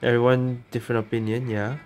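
A man's voice: mid-range voice, level tone, brisk. A man giving casual let's play commentary as a gaming streamer. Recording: game music behind the voice.

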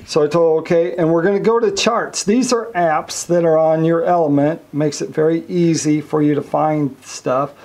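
A man with a somewhat high, gravelly voice explains a marine chart unit step by step. His delivery is relaxed and conversational, full of fillers as he clicks through menus beside a boat. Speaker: marine electronics reviewer. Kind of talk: casual product demonstration.

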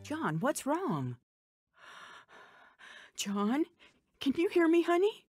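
An actress playing an anxious, caring wife in a radio drama, with a husky voice. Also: female voice, high voice, very animated, drawn-out words, a little mellow, no echo, clean studio sound, faint music behind, exaggerated voice. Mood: panicked.

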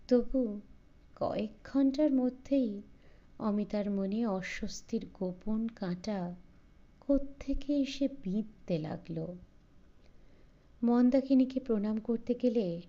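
A woman's voice: mid-range voice, warm tone, muffled recording. A woman narrator reading a literary short story aloud in a scripted audiobook style.

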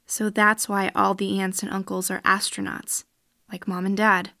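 A woman's voice: medium pitch, flat delivery, normal speed, gravelly, sharp tone, no room sound, studio quality. A woman playing an earnest, questioning girl raised in space, in a science fiction audio drama.

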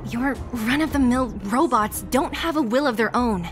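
A woman's voice: a little high, moderate pace, music in the background, close to an everyday voice. An actress voicing a brash, tough young woman fighter, blunt and confident, in a video game. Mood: brisk. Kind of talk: dramatic game dialogue acting.

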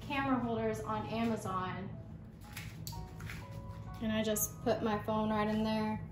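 Casual young woman showing her garage setup. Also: fairly high pitch. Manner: chatty and informal, says y'all. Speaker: painting channel host. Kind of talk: casual DIY walkthrough. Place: home garage workshop. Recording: clear background music underneath.

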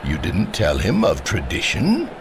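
A commanding fantasy war lord, dramatic range. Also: male voice, low-pitched, wildly swooping pitch, unhurried, background noise, heavily acted. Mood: teasing, warm. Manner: formal, declamatory, authoritative. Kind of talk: fantasy audio drama acting.

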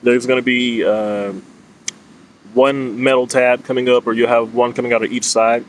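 A man's voice: medium pitch, moderate pace, low-quality recording. Man explaining gear over outdoor noise. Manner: instructive, plain-spoken. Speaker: fishing vlogger. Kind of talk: informal product explainer to camera. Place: outdoors under leafy trees. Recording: clear steady outdoor background noise.

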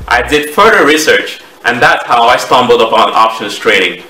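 A man in his thirties with a Cameroonian accent, giving a measured, explanatory presentation to camera as a trading educator. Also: medium pitch, animated, bright, forceful tone, soft background music. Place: small home office, indoors. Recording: roomy echo, distant microphone.

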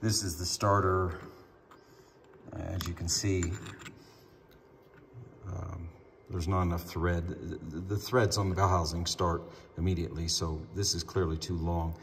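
Low-voiced man in an echoey garage. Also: flat delivery, unhurried, pauses often. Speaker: hobbyist car builder presenting a build update. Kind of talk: casual explanatory vlog. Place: indoor home garage workshop. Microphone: handheld camera, speaker behind it. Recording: reverberant garage, clear background room noise.

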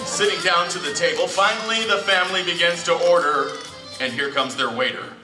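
A man's voice: sits high, brisk, lightly acted. A man playing a theatrical narrator in a live old-time radio show. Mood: storytelling. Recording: echoey hall, distant, music behind.

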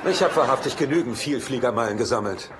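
A man's voice: mid-range voice, expressive, quick pace, a loud crowd, heavy background noise, close to an everyday voice. An actor playing a cheeky, mischievous hard-drinking bachelor who wisecracks his way through a German-dubbed sitcom. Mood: flippant. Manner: wisecracking, flippant.

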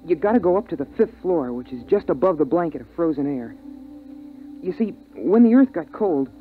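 An actor playing an earnest, excitable boy in a 1950s radio drama, with an eager, boyish manner. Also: fairly high pitch, fairly quick, soft background music, lightly acted. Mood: tense storytelling. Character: earnest, excitable boy who scavenges air. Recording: tinny band-limited 1950s radio recording.

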